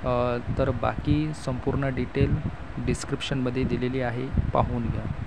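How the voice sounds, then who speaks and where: A man from Maharashtra presenting an informational explainer to online viewers, reading out details with hesitant pauses. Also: mid-range voice, little pitch movement, very noisy. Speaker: YouTube host announcing job openings.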